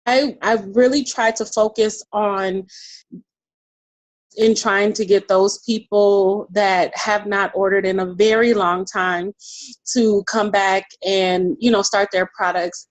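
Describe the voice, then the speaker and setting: A woman's voice: medium pitch, dry recording. A woman team member chatting casually on a video call. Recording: dry, phone-like call audio.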